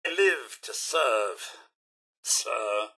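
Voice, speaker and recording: A man voicing a fussy, loyal robot butler in a video game, with a British accent, a raspy edge and a formal, pompous, theatrical delivery. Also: medium pitch, expressive, very slow, sharp tone, full character voice. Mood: eager, deferential. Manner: formal, pompous, deferential, exclamatory. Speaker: companion servant in a video game.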